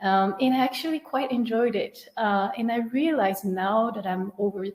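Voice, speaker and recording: A woman's voice: fairly high pitch, normal speed, darkish tone, reverberant. A woman in her thirties with a French accent, telling her career story as a webinar guest speaker. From France. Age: 30s. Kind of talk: webinar presentation.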